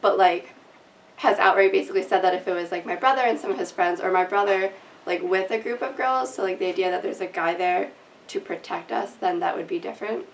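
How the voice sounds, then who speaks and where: A woman's voice: mid-range voice, fairly quick. A young woman student speaking conversationally in an interview, peppering her points with "like". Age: young adult. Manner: conversational, frequent "like". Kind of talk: casual interview. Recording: distant mic with faint room noise.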